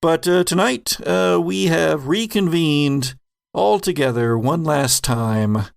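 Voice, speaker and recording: Gravelly-voiced man hosting a casual podcast. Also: a little high, takes it slowly. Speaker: podcast host and game master. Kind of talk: casual retrospective chat.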